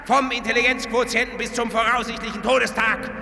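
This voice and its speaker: Radio drama, male: impassioned rebel leader, gravelly, addressing followers in a big reverberant, noisy hall. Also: extremely high pitch, level tone, brisk, heavily acted. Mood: fiery, commanding. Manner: impassioned oratory, swinging from hushed to shouted. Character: fervent rebel musician-leader rallying his devotees. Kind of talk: German science-fiction radio drama speech. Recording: reverberant hall, loud background noise.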